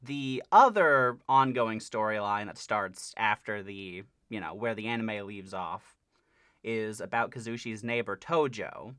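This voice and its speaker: Bright-voiced man giving a casual update. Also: lowish voice, measured pace, smooth, no echo, studio quality. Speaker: host of a parody dub channel giving an update. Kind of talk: casual explanatory update to camera or mic.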